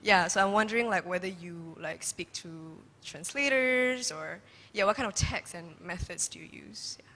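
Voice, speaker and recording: A young woman from Indonesia asking a panel a question, fast and chatty with many likes. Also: medium pitch, sharp tone. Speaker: audience member asking a question. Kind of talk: casual question from the floor. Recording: long reverb of a large hall.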